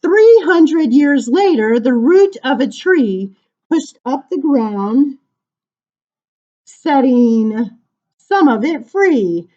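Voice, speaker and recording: A woman reading poems aloud to children as a storytime librarian, with animated, sing-song emphasis and casual asides. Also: sits high, extremely slow, lo-fi sound.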